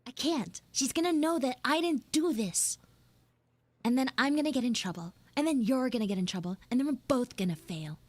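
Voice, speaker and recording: A woman voicing a flustered young tsundere schoolgirl in a live audio roleplay, halting, awkward and defensive. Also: high voice, lively intonation, no echo. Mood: awkward, boastful. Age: young, school student. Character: flustered tsundere frog girl, failing art student.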